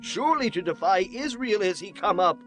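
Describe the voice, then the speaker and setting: A man acts out a biblical elder in a high-pitched voice. He declaims each phrase slowly and deliberately in a scripture drama, over faint music. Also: animated, fairly bright tone. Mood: earnest. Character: old father and townsmen, deliberate, declaiming.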